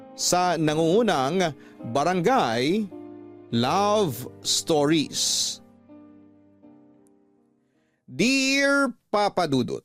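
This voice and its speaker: A Filipino man reading love stories as a radio host, in a calm, measured, sentimental scripted style. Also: on the high side, no echo, studio quality. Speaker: radio show host reading listeners' letters.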